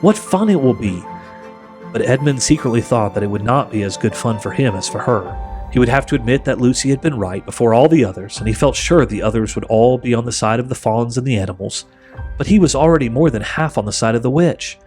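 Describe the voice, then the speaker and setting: A man reading a children's story aloud as an expressive audiobook narrator. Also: medium pitch, fast talker, faint music behind.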